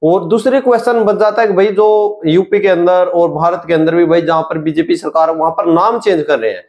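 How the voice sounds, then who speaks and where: Guy chiming in on a street talk, high voice, going point by point, muffled lo-fi audio. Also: fairly even intonation, fairly quick, darkish tone. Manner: recapping point by point. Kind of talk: informal street discussion. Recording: dull, lo-fi street recording.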